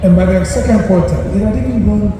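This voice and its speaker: Guy giving a formal awards speech, highish voice, super echoey big hall. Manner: measured, oratorical, with deliberate pauses and emphatic phrases. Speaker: chief executive of a government agency. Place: large hall at an evening event. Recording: echoey hall PA from far off, noisy.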